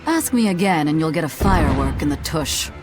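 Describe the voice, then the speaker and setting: A woman's voice: deep voice, expressive, brisk, over music. An actress playing a sly, wry, mysterious woman in a video game, with a haughty, teasing drawl. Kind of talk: English game voice acting.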